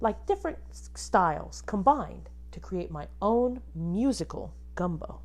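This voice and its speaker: Animated woman reading to children. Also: medium pitch, unhurried. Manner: animated, addresses young children with questions. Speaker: teacher reading aloud to pupils. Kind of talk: expressive children's picture-book read-aloud with asides to pupils.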